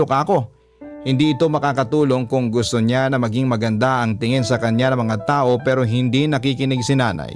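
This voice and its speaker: A man reading a scripted first-person story as the narrator of a radio drama. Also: mid-range voice.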